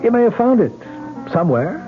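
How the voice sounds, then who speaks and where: An actor playing a skeptical but decent police lieutenant, probing and authoritative, in a radio drama. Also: male voice, high-pitched, big pitch swings, fairly quick. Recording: narrow-band old radio recording, faint music.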